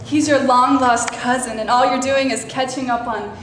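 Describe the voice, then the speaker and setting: A woman's voice: sits high, fairly even intonation, normal speed, a bit bright. Woman playing a brassy, sarcastic bit-part actress. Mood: taunting. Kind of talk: staged play reading. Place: theater stage before a live audience. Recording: very echoey stage, faint room noise.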